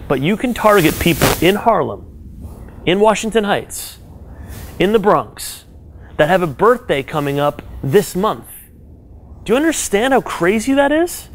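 Male speaker, live audience talk, energetic and direct, slightly higher register. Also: measured pace, slight background noise. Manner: animated, direct, second-person advice with frequent "right?". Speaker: business speaker advising an audience.

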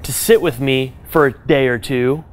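A man giving direct business advice to a live audience, animated and conversational, checking in with "right?" as he goes. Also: medium pitch, moderate pace. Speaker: business speaker advising an audience. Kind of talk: live talk to a room audience.

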